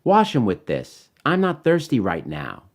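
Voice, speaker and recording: A man voicing a lonely, grumpy old ox softening into humility in a rhyming storybook. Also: mid-range voice, huge pitch swings, unhurried. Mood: humble, gentle.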